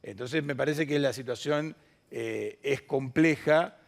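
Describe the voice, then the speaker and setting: A man's voice: mid-range voice, slow, gravelly. A man, moderating a conference panel and speaking off the cuff. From Latin America. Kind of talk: unscripted conference panel discussion.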